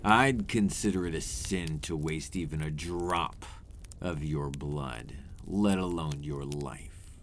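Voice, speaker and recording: A man voicing a centuries-old vampire in an ASMR roleplay, a courtly, teasing predator with condescending, formal, old-fashioned phrasing and a deep voice. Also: slow, a little hiss or hum. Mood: seductive, hungry. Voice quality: deep, breathy at moments.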